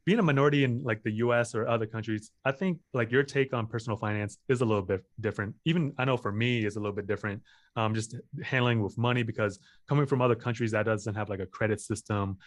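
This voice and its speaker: A man's voice: on the low side, quick pace, dry recording, clean studio sound. A man hosting a personal finance interview, asking questions in a casual way with many fillers. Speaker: host.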